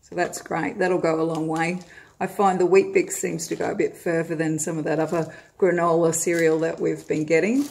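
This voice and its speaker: A woman's voice: lowish voice, vocal fry, darkish tone. A middle-aged Australian woman at home, chatting casually as she films a grocery haul from above on her phone, with plenty of "uh" fillers. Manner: friendly, chatty, with frequent "uh" fillers. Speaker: vlogger. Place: home, indoors, at a table of groceries.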